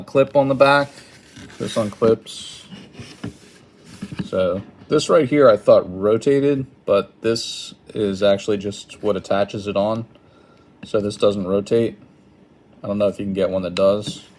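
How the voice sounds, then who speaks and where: A man giving an informal hands-on product tutorial in a hesitant, halting, apologetic manner. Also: fairly low pitch. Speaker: product demonstrator. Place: indoors at a wooden table.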